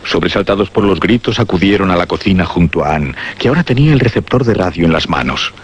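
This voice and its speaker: Deep, animated male suspense narrator. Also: highs cut off, a little hiss or hum. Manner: deliberate, suspenseful. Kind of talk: dramatic scripted narration of a suspense radio play. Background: music.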